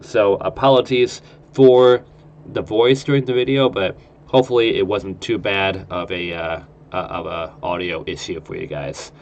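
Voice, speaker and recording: A man's voice: low voice, steady tone, moderate pace, muffled recording, slight background noise. A man with a nasal, stuffed-up voice from a cold, rambling through casual gameplay commentary. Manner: rambling, lots of uh fillers. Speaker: game streamer.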